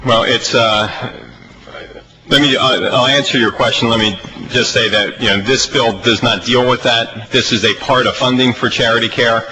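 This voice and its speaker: An American man chairing a legislative hearing, speaking off the cuff in halting, emphatic phrases with repetition. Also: medium pitch, steady tone, bright, forceful tone. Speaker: committee chairman. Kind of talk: extemporaneous remarks at a legislative budget hearing. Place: legislative committee hearing room. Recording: noisy legislative hearing audio.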